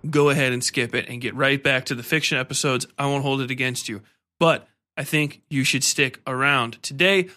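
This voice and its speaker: A male podcast host with a bright, forward voice pitched a little high. He talks casually and slangily as he presents and interviews, with faint music behind him. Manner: informal, slangy.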